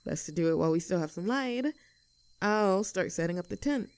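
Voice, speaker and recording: A woman's voice: on the low side, lively intonation, brisk, darkish tone, no echo. A woman playing a young, outdoorsy tomboy friend in an audio roleplay, chatting casually in an upbeat, caring and reassuring way. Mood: cheerful, warm. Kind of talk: roleplay audio acting, casual intimate chat.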